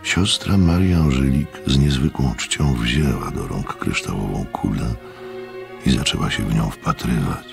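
An actor playing an observant, sceptical gentleman who narrates a radio mystery in a reflective storytelling manner. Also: very deep voice, measured pace, creaky, over music, exaggerated voice.

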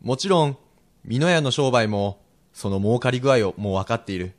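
A man playing a frank, steely Edo-era merchant husband with rough old townsman speech in a radio drama. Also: mid-range voice, expressive, normal speed, lightly acted. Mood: persuading. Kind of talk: period radio drama acting.

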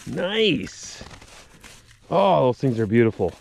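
A man chatting casually and excitably while ice fishing outdoors. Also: fairly low pitch, normal speed, gravelly. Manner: excitable, talks to the fish. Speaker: outdoor vlogger. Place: outdoors on a frozen lake. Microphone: handheld or body-worn action camera. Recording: noisy outdoor action-camera sound.

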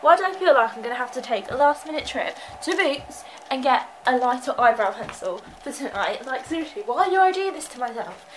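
A young woman with a slightly high voice chats to a handheld camera in her bedroom. She sounds stuffed up from a cold and talks in a nervous, rambling way full of "like". A faint hiss sits under the home recording. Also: lively intonation, brisk, few pauses, rough recording. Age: young adult. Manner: chatty, anxious, lots of "like". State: congested from a cold. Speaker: vlogger. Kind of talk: casual vlog chat to camera. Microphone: handheld camera close up.

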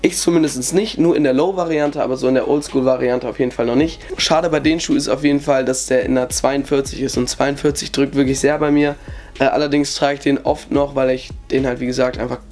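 A man's voice: medium pitch, fairly even intonation, brisk, darkish tone, faint music behind. A young man with a cold, chatting casually through his sneaker collection at a desk indoors, full of fillers. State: has a cold. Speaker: sneaker vlogger. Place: indoor bedroom with shoe shelves.

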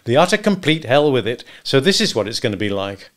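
A man's voice: medium pitch, very animated, fairly quick, voice pushed up. A man voicing a cool, self-assured hunter in a storybook reading, with a throaty, clipped, terse delivery. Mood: curt, cool. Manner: clipped, quiet-tempered, terse.

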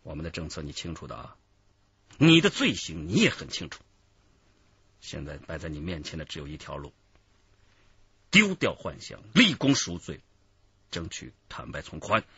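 A man's voice: very deep voice, wildly swooping pitch, moderate pace, pauses often, pushed and bright, breathy edge, dull audio, exaggerated voice. A man acting in a radio drama as a stern police interrogator, insistent and official. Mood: firm, pressing.

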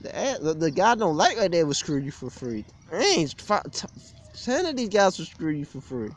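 Young male vlogger, higher voice with lively swings, blunt aggrieved rant, shot outdoors. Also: normal speed. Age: young adult. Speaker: gym vlogger. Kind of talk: informal opinionated rant to camera. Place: outdoors beside a brick building on a street. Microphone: phone or webcam at arm's length.

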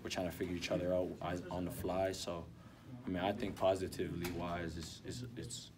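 A young man in his twenties, a college basketball player, answering postgame questions softly and hesitantly with many ums. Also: low-pitched, little pitch movement, fairly quick, over music. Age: 20s. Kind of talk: postgame press interview. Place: indoor arena hallway with block walls. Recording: distant mic, background noise.

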